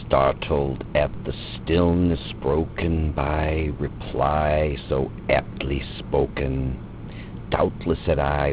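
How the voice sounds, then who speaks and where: A man with a gravelly voice gives a theatrical, drawn-out reading of a dark narrative poem, with long pauses. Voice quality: gravelly, very deep. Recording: muffled lo-fi recording with loud background noise.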